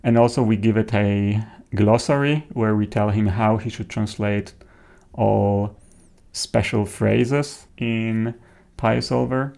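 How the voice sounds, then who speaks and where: A man, a native Polish speaker with a Polish accent, presents an informal software tutorial in a halting, hesitant way. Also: fairly low pitch, steady tone, creaky. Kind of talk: informal software demo narration.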